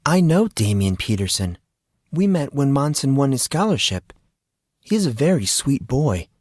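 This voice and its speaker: Male narrator as composed woman, higher pitch, sweet, playful, a touch indignant, audiobook character. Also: very animated, measured pace, darkish tone, dry recording, clean studio sound. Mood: concerned.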